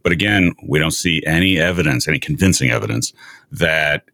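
A man hosting a casual science interview, musing aloud in a measured way. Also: rumbling low, vocal fry, sharp tone, dry recording. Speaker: show host, interviewer.

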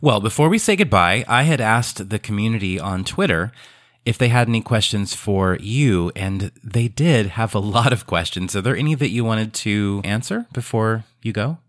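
A man hosts a casual podcast, thoughtful and sincere. His voice sits on the low side. The recording is close and dry. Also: lively intonation, normal speed, dry recording. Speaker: podcast host.

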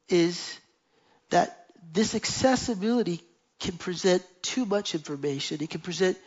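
An earnest man preaching to a church gathering, stacking up lists and repeating phrases as he drives each point home. The sound is a little dull and lo-fi, as from a live stream. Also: high voice. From United States. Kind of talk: sermon.

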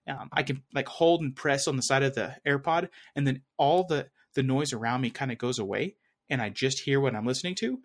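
A young man talks through gadgets in a loose, meandering way, stopping and restarting his sentences. His voice sits at an ordinary middle pitch. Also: a bit bright. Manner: rambling, full of fillers and restarts. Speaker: podcast co-host. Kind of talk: casual tech chat.

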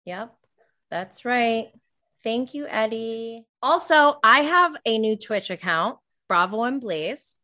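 A woman's voice: a little high, lively intonation, slow, pushed and bright. A woman in her late thirties hosting a podcast, chatting casually and candidly with an informal, self-deprecating way of talking. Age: late 30s. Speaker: podcast host.

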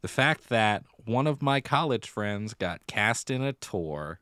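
An American man with a low, smooth voice talks casually as a podcast co-host. His delivery is wry, and the close recording has very little room sound. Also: lively intonation, slow pace, a bit bright, no room sound. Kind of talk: casual theatre news chat.

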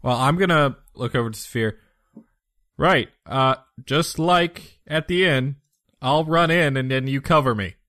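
A man's voice: medium pitch, unhurried, a bit bright, no echo, clean studio sound. A man of twenty-six chatting casually as a player in a tabletop game, joking and teasing.

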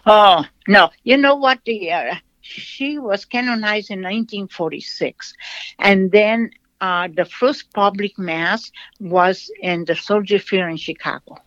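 Dry, muffled recording, Brazilian-accented nun. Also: female voice, mid-range voice, unhurried, a bit bright, no room sound. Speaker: nun, shrine representative. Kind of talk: interview answers, storytelling. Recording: dull lo-fi sound, dry.

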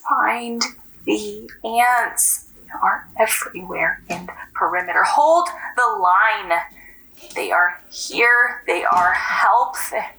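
A woman's voice: mid-range voice, takes it slowly, bright, forceful tone, low-quality recording. A woman as a whispering entranced young woman. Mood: trance whisper. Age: young adult. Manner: breathy whispering, repeating words in a trance. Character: young woman possessed in a nightmare, dazed and eerie. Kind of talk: horror audio drama acting.

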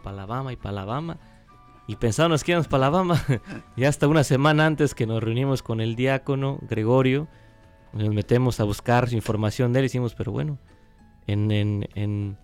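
A man's voice: mid-range voice, a little mellow, clear, resonant tone. A man telling a chatty anecdote as part of a missionary testimony. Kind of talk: storytelling testimony.

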